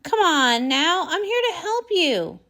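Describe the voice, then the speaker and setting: A woman's voice: very high-pitched, sing-song, measured pace, sharp tone, voice pushed up, exaggerated voice. A woman voicing a kindly, determined young heroine pony in a storybook, encouraging and full of questions. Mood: curious, eager. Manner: encouraging, inquisitive.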